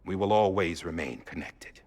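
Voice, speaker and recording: An actor playing a solemn statesman giving a measured, rhetorical memorial address in a video game cutscene. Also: low-pitched, animated, a little mellow. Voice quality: husky.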